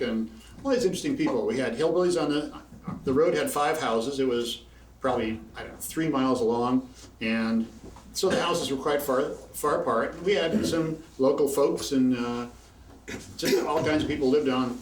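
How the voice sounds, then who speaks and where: A man in his sixties from Indiana telling rambling, chatty stories between tunes at a house concert. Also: medium pitch. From Bloomington, Indiana. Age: 60s. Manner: rambling, chatty, chuckling asides. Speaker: banjo player telling stories. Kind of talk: casual storytelling at a house concert. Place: living room at a house concert. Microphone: far camera in the room. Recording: roomy, distant, faint room noise.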